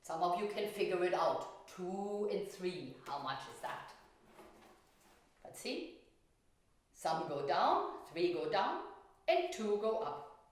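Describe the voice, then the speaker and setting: A woman's voice: on the low side, big pitch swings, slow pace, long pauses. A woman teaching a children's painting lesson, explaining step by step in simple words and asking questions. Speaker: art teacher. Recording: very echoey room, distant mic.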